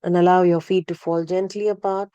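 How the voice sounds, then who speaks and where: Woman guiding meditation, low mellow voice. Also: smooth, dry recording, lo-fi sound. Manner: calm, unhurried, gently instructing with long pauses. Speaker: yoga nidra guide. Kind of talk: guided meditation narration.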